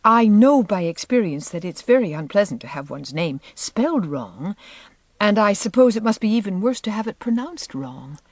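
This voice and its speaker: A woman voicing a polite young schoolmistress in a storybook, meek, apologetic and faltering, speaking softly. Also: mid-range voice, very animated, moderate pace, dry recording. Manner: faltering, soft-spoken, polite. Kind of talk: audiobook character voice.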